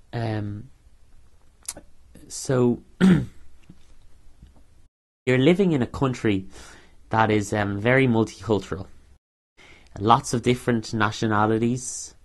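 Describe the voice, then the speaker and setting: A young man speaks in a fairly low voice with a Dublin Irish accent. His unscripted, personal talk is earnest, and he often stops and repeats himself while finding the next phrase. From Ireland. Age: young adult. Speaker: interviewee, university student.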